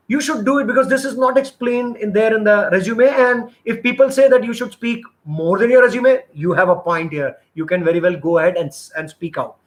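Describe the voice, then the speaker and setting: A middle-aged man coaches job applicants in a live session. His voice sits high, and he talks in an earnest, rambling way, often restarting his sentences. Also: a bit bright. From India. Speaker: interview trainer.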